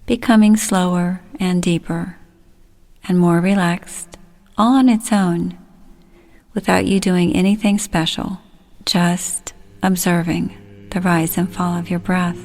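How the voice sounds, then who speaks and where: A woman leading a guided relaxation, speaking soothingly and gently in short, unhurried phrases. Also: lowish voice, steady tone.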